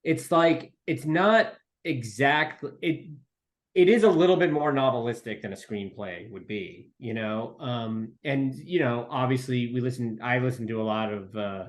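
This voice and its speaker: Male podcast guest, medium pitch, casual and searching, rephrases as he goes. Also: moderate pace. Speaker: podcast guest, audio drama writer. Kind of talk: casual interview talk.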